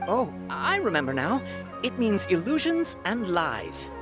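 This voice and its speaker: An eager male fortune-teller from a video game. He has a showy, courteous salesman's delivery with animated, swinging intonation, over light background music. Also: high voice, a little slow, full character voice. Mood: pondering.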